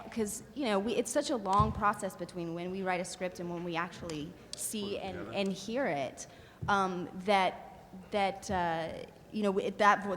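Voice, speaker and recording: A woman speaking haltingly as a writer on a convention panel. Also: fairly low pitch, a little hiss or hum. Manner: halting, restarts often, laughs between thoughts. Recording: hall reverb from convention room.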